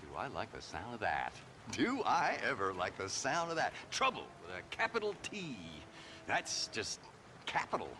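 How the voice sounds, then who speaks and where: A chattering, self-amused dandy reporter out West in a video game. His bright voice swoops up and down as he gushes, over faint noise. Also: mid-range voice, huge pitch swings, normal speed. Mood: gushing. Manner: gushing, wordy, self-amused, repeats himself. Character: excitable, chattering male East Coast magazine writer out West. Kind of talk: theatrical video game acting.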